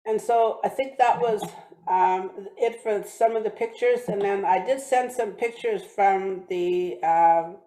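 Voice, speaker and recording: Woman from British Columbia, guest speaker, mellow tone, many restarts, echoey room. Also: mid-range voice. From British Columbia, Canada. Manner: halting, many restarts and fillers. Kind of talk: talk with slides. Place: church hall or online meeting.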